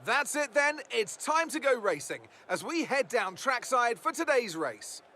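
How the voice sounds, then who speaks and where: A man's voice: extremely high pitch, very animated, measured pace, bright, forceful tone, a little hiss or hum. A man giving polished, upbeat race broadcast commentary in an announcer's manner. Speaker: sports commentator.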